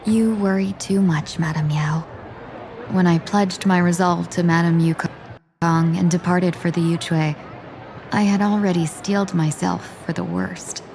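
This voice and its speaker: Poised, gravelly young female envoy, theatrical. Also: on the low side, steady tone, a little slow, darkish tone, a little hiss or hum. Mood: composed, wry. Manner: formal, theatrical, composed. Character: young female merchant-guild envoy posing as deadly assassin. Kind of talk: video game voice acting.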